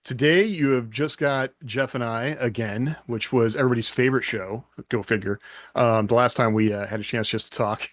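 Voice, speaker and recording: A man's voice: medium pitch, normal speed, no echo. A man co-hosts a podcast, his mid-range voice easygoing and a little meandering, with plenty of ums. He sits close to a dry, dead-sounding mic. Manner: relaxed, rambling, many ums. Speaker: podcast co-host. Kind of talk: casual podcast chat.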